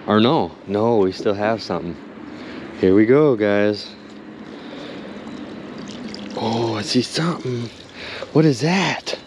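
Male outdoor vlogger, animated and chatty, faint outdoor hiss. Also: lowish voice, slow pace, long pauses. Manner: excitable, chatty, keeps addressing viewers as "you guys". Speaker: magnet fishing vlogger. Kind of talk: excited outdoor vlog commentary. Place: outdoors by a river.

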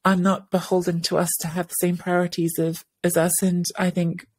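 A woman's voice: lowish voice, level tone, normal speed, darkish tone, breathy edge, dry recording. A woman in her thirties co-hosting a podcast over a video call, talking thoughtfully with many restarts and fillers. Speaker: podcast co-host. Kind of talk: casual podcast discussion. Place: home room with bookshelves, indoors. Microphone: earphone headset on video call.

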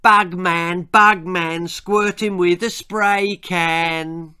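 A man's voice: fairly high pitch, very animated, a little slow, a bit bright, dry recording, studio quality, pitched above the performer's own voice. Man doing a cruel, sneering schoolboy bully. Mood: jeering. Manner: snorting, cold, jeering.

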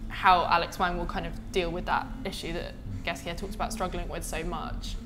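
A woman hosting a studio panel discussion about fashion, quick and conversational, asking questions. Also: lowish voice, level tone, over music. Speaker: panel host.

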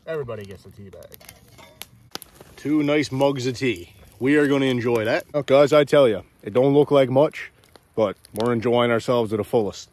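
A man's voice: mid-range voice, moderate pace, darkish tone. A young man with a Newfoundland accent, chatting enthusiastically to viewers during an outdoor fishing vlog, filmed on a handheld camera outside. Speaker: outdoor vlogger. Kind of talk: casual outdoor vlog chat. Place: outdoors by a river in snow. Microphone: phone or action camera held close.

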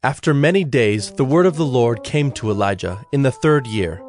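A man narrating scripture from a script in a measured, solemn way. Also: mid-range voice, animated, darkish tone. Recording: music under the voice.

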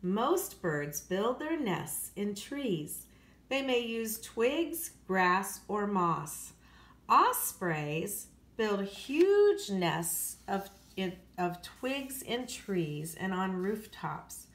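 Casting: American female elementary teacher, animated pitch, explanatory read-aloud style for kids, recorded in an echoey room. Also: extremely slow. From United States. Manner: explanatory, repeats and rephrases facts for children. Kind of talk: read-aloud lesson for children.

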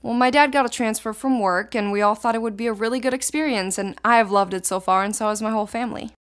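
A woman's voice: on the high side, fast, smooth. A teenage girl from Israel, a native Hebrew speaker, answering interview questions in casual, thoughtful sentences. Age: teens.

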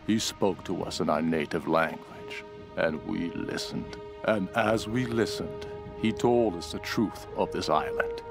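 An actor playing a grim, awed castaway turned cult follower, recounting his story in a measured, solemn game journal narration. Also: deep voice. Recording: music behind the voice.

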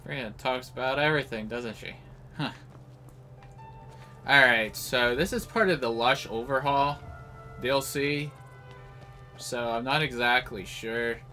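A man with a bright, sharp-edged voice gives casual live commentary on a game. He rambles in an offhand way, with music running faintly underneath. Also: medium pitch, a little slow, pauses often. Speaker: gameplay host.

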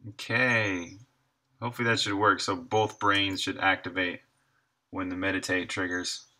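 Low, bright-voiced man thinking aloud. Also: level tone, moderate pace. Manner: half to himself, in short fragments. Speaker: indie game developer live streaming. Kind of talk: casual think-aloud commentary while working.

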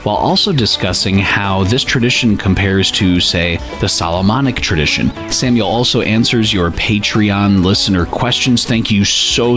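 A man hosting a podcast, introducing his guest and asking playful, enthusiastic questions. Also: fairly low pitch. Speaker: podcast host.

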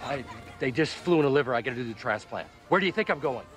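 Man playing a harried, fast-talking surgeon husband. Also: mid-range voice, lively intonation, fairly bright tone, close to an everyday voice. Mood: exasperated. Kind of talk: scripted screen acting.

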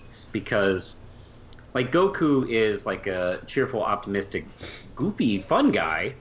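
A man co-hosting a podcast in a casual fan discussion, speaking haltingly and often repeating words as he goes. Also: sing-song. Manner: halting, trailing off mid-phrase and repeating words. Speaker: podcast co-host. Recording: muffled low-fi mic with faint hiss.

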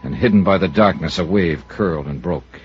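An actor playing a tough, world-weary American investigator in old-time radio drama. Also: male voice, low voice, normal speed, a little hiss or hum, natural voice. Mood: brooding. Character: tough, world-weary male private investigator narrating his case. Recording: dull old radio transcription.

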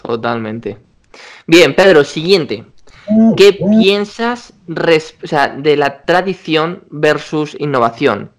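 A young man hosting a video call interview, asking questions briskly and answering with short agreements. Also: a little high, animated. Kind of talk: quick-fire interview questions. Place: dim room at home. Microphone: video call webcam.

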